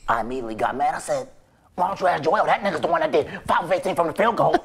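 A young man acts out an exasperated male head coach in a comedy sketch, scolding with heavy sarcasm. The voice is scratchy and strained, fairly high for a man, and swings widely in pitch through incredulous questions. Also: lively intonation, racing, creaky. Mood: annoyed. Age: young adult. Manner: indignant, incredulous rhetorical questions. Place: small bright indoor room.

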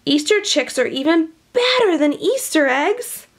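A woman's voice: extremely high pitch, big pitch swings, a little slow, voice pushed up, heavily acted. A woman voicing a cheery elderly egg lady from a children's storybook in a sing-song, chirpy manner. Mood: delighted. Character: cheery elderly lady who collects eggs.

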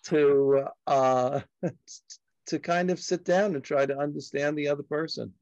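An older man from Brooklyn, a native Yiddish speaker, hosting a reflective discussion in a halting, deliberate, frank way. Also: a little high, fairly even intonation, darkish tone, no echo. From Brooklyn, New York. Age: older, about 70s. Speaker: discussion host. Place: dim home study, indoors. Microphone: computer on video call. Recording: muffled video call audio.

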